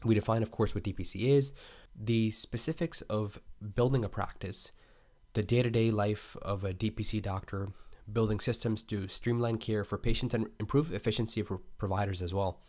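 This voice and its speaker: A man's voice: lowish voice, fairly even intonation, normal speed, a little mellow. A male podcast host who reads a guest introduction and then asks loose, rambling questions full of fillers. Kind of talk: read intro, then casual interview chat.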